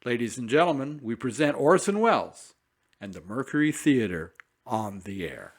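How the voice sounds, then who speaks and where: A man reading a scripted introduction in a lively, formal way. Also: mid-range voice, moderate pace. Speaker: program presenter.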